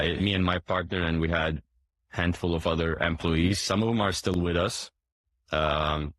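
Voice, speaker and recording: A man in his forties who grew up in Turkey, a boat dealership owner answering interview questions in a hesitant way, with many fillers and restarts and frequent throat clearing. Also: deep voice, fairly bright tone, no echo, rough recording.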